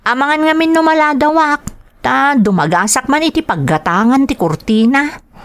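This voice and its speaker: An actress playing an eager, reassuring young wife and daughter who is house-proud, in a radio drama. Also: female voice, high-pitched, lively intonation, normal speed, no echo. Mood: dutiful, eager. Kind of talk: Ilocano radio drama acting.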